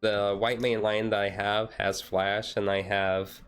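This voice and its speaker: A man streamer chatting casually in a relaxed, rambling way on a chill hobby stream. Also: lowish voice, measured pace, clear, resonant tone, soft background music.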